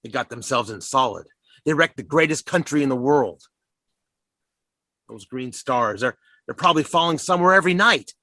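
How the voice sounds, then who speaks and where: A rambling militia survivor. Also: male voice, medium pitch, animated, moderate pace, sharp tone, dry recording. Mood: scheming. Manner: rambling, stammering, scheming bravado. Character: ragged militia survivor with a plan, gruff schemer. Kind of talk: radio drama acting.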